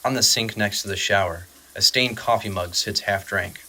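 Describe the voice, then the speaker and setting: A man's voice: lowish voice, moderate pace, bright, forceful tone. A man reading scripted dramatic narration for an audio drama.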